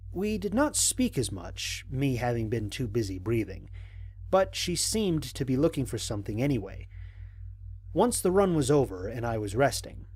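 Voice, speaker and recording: Man as wry astronaut, animated and slightly husky. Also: mid-range voice. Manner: measured, articulate, dryly humorous. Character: stranded human astronaut, wry, analytical, recording mission logs. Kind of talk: dramatic scripted log narration.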